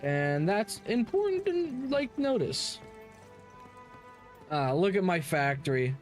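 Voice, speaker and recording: A man's voice: sits high, very animated, slow pace, faint music behind. A man doing casual live game stream commentary in a blunt, opinionated manner. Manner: blunt, opinionated, heavy swearing.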